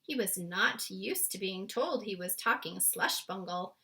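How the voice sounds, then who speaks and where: A woman reading a storybook aloud with expressive narration. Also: mid-range voice, a little slow. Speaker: storybook reader.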